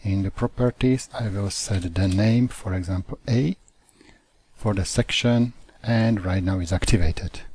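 Slow, husky-voiced man teaching software. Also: fairly low pitch, no echo. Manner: slow, halting phrasing with non-native grammar. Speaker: CAD software tutor. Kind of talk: screen-recorded software tutorial.

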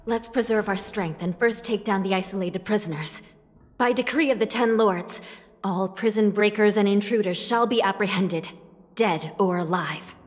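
A woman's voice: medium pitch, lightly acted. Woman as a stern prison judge, reverberant. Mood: commanding. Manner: formal, authoritative, measured. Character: stern, composed female prison judge giving orders. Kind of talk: dramatic video game voice acting. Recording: long reverb, music behind. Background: game music.

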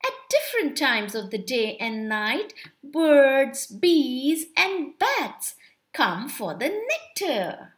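Woman, young adult, very animated children's storyteller, extremely slow pace, drawn-out words. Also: sits high. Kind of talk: children's picture book read-aloud.

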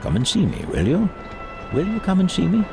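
An actor playing an elderly reformed miser, giddy, generous and exuberant, in a theatrical audio drama. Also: male voice, sits high, huge pitch swings, brisk, creaky, music in the background, exaggerated voice. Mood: effusive, warm. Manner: exuberant, chuckling, mock-stern at times.

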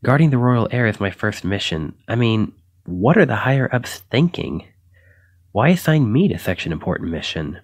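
Guy playing a nervous young knight for asmr roleplay, kinda low voice, stutters and second guesses himself, dry close sound. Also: sing-song, moderate pace, breathy edge, no echo, full character voice. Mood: anxious, self-doubting. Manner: stammering, hesitant, apologetic. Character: shy, flustered, self-doubting young male knight.

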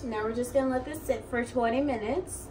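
A woman's voice: fairly high pitch, a little fast. A woman in her twenties casually narrating a home cooking vlog, recorded in a kitchen from a few steps away. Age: 20s. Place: small home kitchen, indoors. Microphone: camera or phone a few steps away. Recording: distant mic, noisy kitchen ambience.

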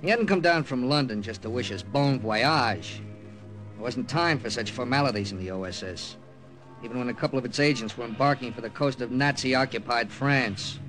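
An actor with an American accent playing a seasoned secret agent captain who narrates a 1950s radio drama. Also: male voice, medium pitch, normal speed, natural voice, poor audio. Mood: tense recollection. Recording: old radio broadcast, music underneath.

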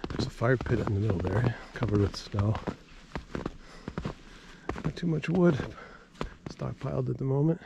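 A man casually narrating his own hike through snowy woods, pointing out trail features in a relaxed, plain-spoken way. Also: medium pitch, unhurried, creaky, pauses often. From New England, US. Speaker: hiker filming his own trail video. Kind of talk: casual narration while hiking. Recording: loud outdoor noise, clicks.

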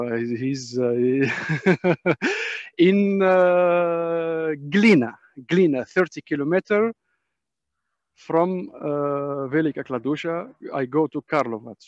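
A man who is a non-native English speaker gives informal testimony as a panel speaker, haltingly searching for words in broken English on a muffled video call. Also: fairly high pitch, no room sound. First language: not English. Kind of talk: informal spoken testimony in a webinar. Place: on a video call from a dim space.

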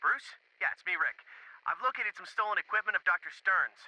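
Excitable young informant: high, animated, urgent video game delivery on a noisy line. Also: male voice, no echo, full character voice. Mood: anxious. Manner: urgent, hurried. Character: excitable, jittery young male sidekick hacker informant. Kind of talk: video game radio briefing acting.

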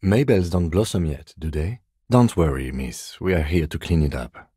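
A restrained, courteous police lieutenant for a video game. His voice is deep, dark and husky, delivered in a measured deadpan with a dry, sardonic edge. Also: no echo. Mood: calm, formal. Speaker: game character, police detective. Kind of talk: video game dialogue voice lines.

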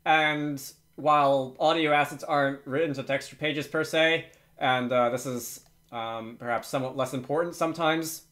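A man's voice: a little high, fairly even intonation, moderate pace, fairly bright tone. A man presenting a casual, unscripted screencast tutorial, hesitantly thinking aloud as he works. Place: indoors at a computer.

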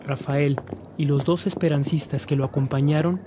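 A man's voice: fairly high pitch, fairly even intonation, normal speed, background noise. A man narrating a radio drama from a script.

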